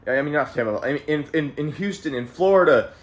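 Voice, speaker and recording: Young man, late teens, from Canada, rambling, profane, self-motivating, slightly high-pitched. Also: animated, fairly quick. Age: young adult. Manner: rambling, self-hyping, profane, repeats phrases, says "bro" a lot. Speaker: vlogger. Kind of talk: casual motivational monologue to camera. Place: at home.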